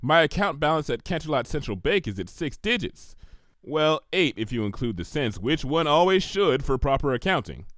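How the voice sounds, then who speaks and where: A man's voice: fairly high pitch, sing-song, normal speed, fairly bright tone, no echo, studio quality. A man voicing a prim, studious young female unicorn pony with a precise, pedantic, dignified way of talking, in a fan fiction reading. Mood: reserved, proud.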